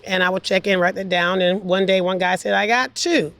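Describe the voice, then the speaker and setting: An older woman telling personal stories casually as an interview guest, chatty and animated as she acts out what people said. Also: medium pitch, a little fast, vocal fry. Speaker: interview guest, former air traffic controller. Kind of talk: casual anecdotal storytelling.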